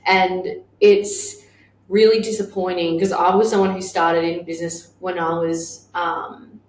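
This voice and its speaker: A woman in her thirties, a business owner co-hosting a casual podcast chat, blunt and quick to swear and laugh. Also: on the low side, little pitch movement. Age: 30s. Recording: echoey room with faint noise.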